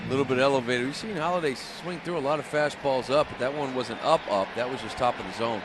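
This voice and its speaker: A man's voice: mid-range voice, quick pace. An American man giving live baseball analysis as a color commentator, explaining plays conversationally in short phrases. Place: ballpark broadcast booth. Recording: clear stadium noise behind the voice.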